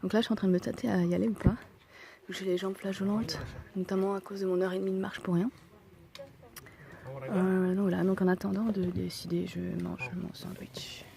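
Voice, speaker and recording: Hoarse young woman vlogging on a hike. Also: mid-range voice, flat delivery, brisk, warm tone. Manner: chatty and self-deprecating, thinking aloud. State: hoarse voice from a cold; out of breath. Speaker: hiker vlogging a mountain trail. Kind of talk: casual spoken travel vlog. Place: outdoors on a mountain trail.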